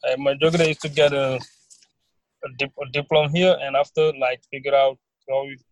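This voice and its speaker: A young man with a Senegalese accent, a student guest talking casually with halting, non-native phrasing in an interview. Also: mid-range voice, steady tone, no room sound, low-quality recording. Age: young adult. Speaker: student guest, recent graduate.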